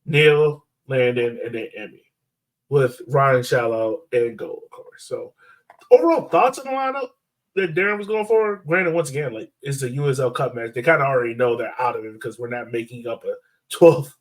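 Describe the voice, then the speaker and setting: A man's voice: medium pitch, moderate pace, low-quality recording. A man with a mid-range voice hosts a sports podcast. He talks casually and informally, working out his thoughts aloud as he goes. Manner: informal, conversational, thinks aloud.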